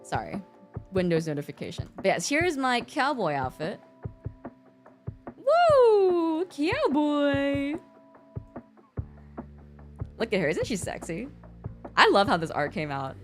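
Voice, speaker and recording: A woman's voice: high-pitched, wildly swooping pitch, slow, long pauses, over music. A young woman with an American accent and Mandarin as her first language, chatting casually as a livestreamer in a giggly, talkative way. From born in China, raised in the US from about age six. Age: young adult. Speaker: streamer.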